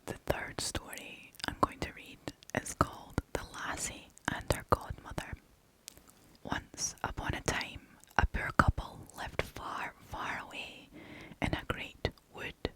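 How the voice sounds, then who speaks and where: A storyteller whispers folk tales in a bedtime ASMR style. The whisper is breathy and bright, with no voiced tone at all. The reading is slow, gentle and expressive. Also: poor audio. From United Kingdom. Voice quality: breathy, unvoiced whisper throughout.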